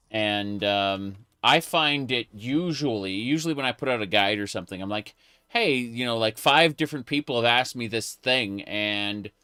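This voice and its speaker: A man's voice: mid-range voice, pushed and bright, clean tone, no echo, clean studio sound. A man streaming a late-night gaming chat, rambling casually with lots of fillers and restarts. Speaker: streamer and video creator.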